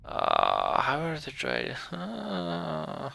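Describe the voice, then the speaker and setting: A man's voice: fairly high pitch, wildly swooping pitch, extremely slow, creaky, sharp tone. A man narrating a video game, reading its story text aloud and adding casual, muttered asides. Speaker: let's play narrator.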